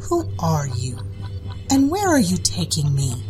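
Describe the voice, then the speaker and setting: A haughty German woman, curt and demanding, her indignation sitting in a low, unhurried voice, as a woman narrator puts her on. Music runs behind her lines in the storybook. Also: sing-song. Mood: indignant.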